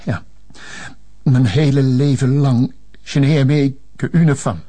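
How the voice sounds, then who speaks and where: Guy acting as old flemish notary, genteel, halting, bit husky, sad and resigned, slips into french. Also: mid-range voice, fairly even intonation, warm tone, close to an everyday voice. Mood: bitter, sorrowful. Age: older. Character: resigned, lovelorn elderly male notary confessing old secrets. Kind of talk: television crime drama acting.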